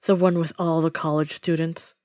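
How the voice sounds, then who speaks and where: A woman playing a possessive female villain in an intimate audio roleplay, falsely sweet, deliberate and coldly menacing. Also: low-pitched, level tone, a little mellow, no room sound, studio quality, lightly acted. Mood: menacing, mock sweet. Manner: slow, deliberate, veiled threats with endearments.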